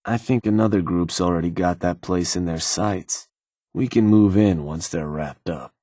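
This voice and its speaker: Game role: a man voicing a laconic raider lieutenant, very low husky voice, dry studio sound. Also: moderate pace, mellow, no echo, lightly acted. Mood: matter-of-fact. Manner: terse, businesslike, dry. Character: gruff male raider lieutenant, laconic, world-weary. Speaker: game character. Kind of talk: video game voice lines.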